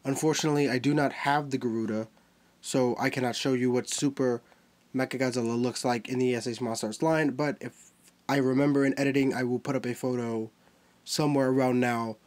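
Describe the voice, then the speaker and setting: Brief: man, mid-pitched, nasal and stuffy from a cold, chatty toy-review host walking through a figure. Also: steady tone, a little fast, a little mellow. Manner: chatty, explains while handling a figure.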